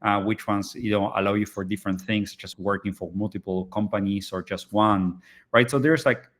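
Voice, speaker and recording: A man's voice: on the low side, fairly even intonation, brisk. A man originally from Argentina, speaking English as a startup founder in a live online interview, hesitant and full of fillers and restarts.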